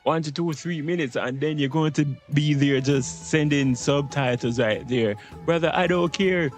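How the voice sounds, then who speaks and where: A man's voice: on the high side, moderate pace, faint music behind. A man giving casual, slangy, profane commentary as he streams a video game. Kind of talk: casual live gameplay commentary.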